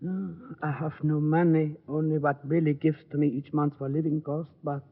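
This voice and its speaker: A man's voice: fairly high pitch, level tone, normal speed, vocal fry. An actor playing a polite, earnest old gunsmith in his sixties with a German accent and a hesitant, formal manner, in a vintage radio drama. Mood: earnest, pleading. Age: 60s. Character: small, elderly German gunsmith, polite, earnest widowed immigrant. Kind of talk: 1940s-50s radio drama acting. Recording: muffled, narrow-band old radio recording.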